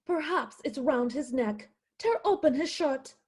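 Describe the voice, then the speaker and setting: A woman's voice: high-pitched, expressive, a little slow. A woman playing a stubborn, indignant poor widowed mother in a theatrical radio play. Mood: urgent, bossy. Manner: indignant, insistent, dramatic.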